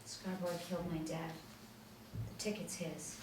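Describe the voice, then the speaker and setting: A woman's voice: low-pitched, flat delivery, unhurried. An actress playing a defiant, wary orphaned girl in a script table reading, speaking curtly and guardedly. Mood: bitter. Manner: curt, guarded. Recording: roomy, distant mic, clearly noisy.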